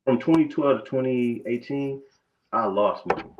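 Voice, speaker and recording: A man's voice: mid-range voice, normal speed, a little hiss or hum. A man, a barber telling his story in a loose, conversational way full of restarts. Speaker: barber guest. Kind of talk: casual interview talk. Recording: dull, muffled lo-fi sound.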